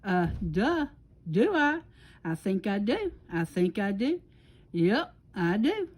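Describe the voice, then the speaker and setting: A woman's voice: mid-range voice, very animated, slow pace, darkish tone. Woman doing a dopey, agreeable big bear. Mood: eager, dopey. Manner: doltish, hesitant, simple. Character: big dim-witted, agreeable male bear.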